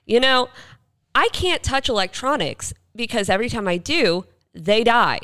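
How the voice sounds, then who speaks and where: A woman's voice: a little high, lively intonation, normal speed, a bit bright. A woman podcast co-host chatting casually and telling anecdotes, acting out bits of dialogue as she goes. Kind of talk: casual chat, anecdotal storytelling.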